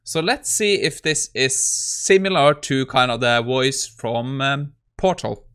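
A man's voice: mid-range voice, takes it slowly, a bit bright, clear, resonant tone. A man in his thirties giving a casual coding walkthrough as a tech YouTuber at his desk.

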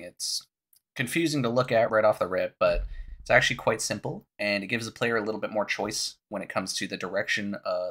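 A young man with a low, scratchy voice, raspy from a cough. He talks casually and explains things step by step, like a gaming creator guiding viewers through a menu. He speaks into a headset in a small room at home. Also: sharp tone. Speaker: gaming YouTuber. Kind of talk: casual game walkthrough.

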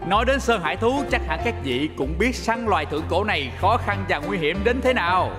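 A man voicing an excitable, showy auctioneer in a cartoon dub, announcing theatrically. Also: high voice, a little fast, over music. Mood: showy, building suspense. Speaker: auctioneer character. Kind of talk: animated motion comic dub, showy auction calling.

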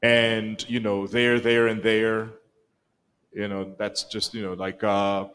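A middle-aged man with a Rwandan accent preaching a sermon in a church sanctuary, telling the story with lively acted-out voices. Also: fairly low pitch, slow. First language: Kinyarwanda. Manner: animated storytelling, acts out quoted lines. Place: church sanctuary, mid-sized room. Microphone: pulpit microphone, filmed by a far camera.

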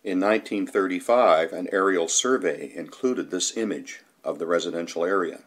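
Very low-pitched male documentary narrator. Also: moderate pace. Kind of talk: scripted documentary narration, reading old news reports.

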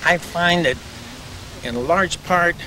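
A man's voice: high-pitched, slow pace. Older man guiding a cemetery tour outdoors. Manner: chatty, explanatory, with frequent uh fillers and restarts. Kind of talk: outdoor guided walking tour talk. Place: outdoor cemetery among trees. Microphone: camcorder mic from a few feet away. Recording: outdoor camcorder audio with traffic noise.

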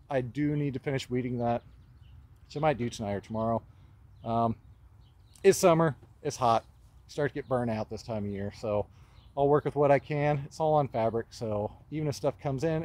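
A man in his thirties walking a vegetable field outdoors and talking casually into a phone camera held at arm's length, offhand and relaxed as he points things out. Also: medium pitch. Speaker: market gardener giving a farm tour. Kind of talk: casual walking tour talk.

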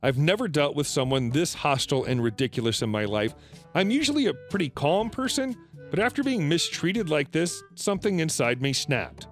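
A man narrating reddit retail stories aloud, reading in a lively, animated way. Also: normal speed, faint music behind. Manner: lively, animated reading with shifting pitch for quoted customers. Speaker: story narrator.

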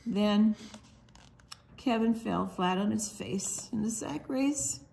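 Slow-paced woman reading a picture book. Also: mid-range voice, vocal fry, warm tone, a little hiss or hum, low-quality recording. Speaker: storytime book reader. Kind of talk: children's picture book read-aloud.

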